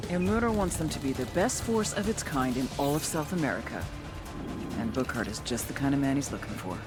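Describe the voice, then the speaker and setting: An actor playing a shrewd intelligence briefer, reading a scripted dossier in a dry, knowing, colloquial way. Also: male voice, fairly high pitch. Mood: knowing, brisk. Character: shrewd intelligence briefer profiling cartel targets. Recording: music behind the voice.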